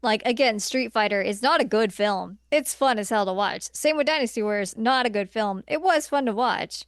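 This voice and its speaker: Woman, podcast co-host, fairly high voice, dry close sound, casual chat, informal emphatic phrasing. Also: normal speed, no echo. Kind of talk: casual chat, film ranking discussion.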